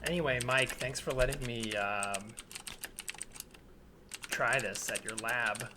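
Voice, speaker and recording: A man's voice: medium pitch, slow pace, natural voice. A man improvising a comedy scene as a sheepish, obsessive man asking a favour, rambling and hesitant. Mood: sheepish, earnest. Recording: remote recording with faint background noise.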